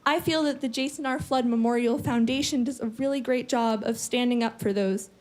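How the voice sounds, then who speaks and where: A woman singer introducing her song on stage at a live show, speaking in an earnest, quick, heartfelt way. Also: a little high. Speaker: singer in a competing band. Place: live studio event venue. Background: loud band music.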